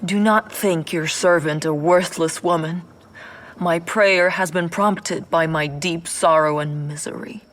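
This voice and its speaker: A grieving young wife. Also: female voice, on the low side, fairly even intonation, unhurried, fairly bright tone. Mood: sorrowful. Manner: earnest, sorrowful prayer. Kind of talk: dramatized scripture reading. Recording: strong music underneath.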